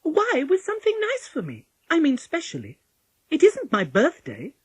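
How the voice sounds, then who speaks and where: A clever little storybook girl, voiced by a woman, cautious but cheeky. Her voice sits high and lilts up and down a great deal. She sounds polite and matter-of-fact, always questioning. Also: huge pitch swings, normal speed, a little mellow, no room sound. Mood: suspicious.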